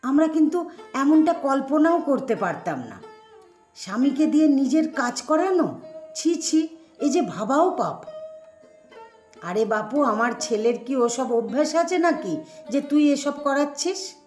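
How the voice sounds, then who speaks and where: A woman voicing a retired Bengali mother-in-law, quietly disapproving and self-restrained, in an expressive, conversational story reading. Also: high voice, a little slow, pauses often, voice pushed up. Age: older. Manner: conversational, expressive, rhetorical questions. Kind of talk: first-person dramatic story reading. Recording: echoey voice over sitar music.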